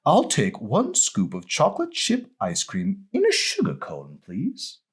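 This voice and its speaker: A man's voice: mid-range voice, wildly swooping pitch, unhurried, slightly rough, voice pushed up, heavily acted. A man voicing a genteel middle-aged woman with an English accent in an audiobook. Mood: courteous.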